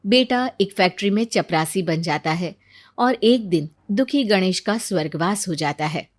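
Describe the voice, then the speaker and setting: A woman's voice: fairly low pitch, animated, normal speed, no echo, studio quality. A woman narrating a scripted moral story as a storyteller.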